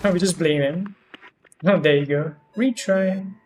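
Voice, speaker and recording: A man's voice: sits high, a little slow, a little mellow. A man giving casual, chatty live commentary as he streams a game, reacting as he goes. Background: game music.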